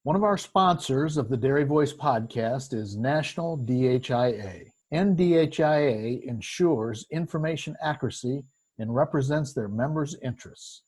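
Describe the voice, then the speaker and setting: A man reads a scripted sponsor message in a steady, formal way. His voice is a bit husky. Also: medium pitch, normal speed. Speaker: sponsor announcer.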